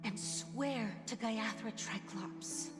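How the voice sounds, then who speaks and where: A woman's voice: fairly high pitch, slow, loud music behind the voice. A woman voicing an older sister who earnestly instructs her younger brother. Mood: solemn. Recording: echoey, long reverb.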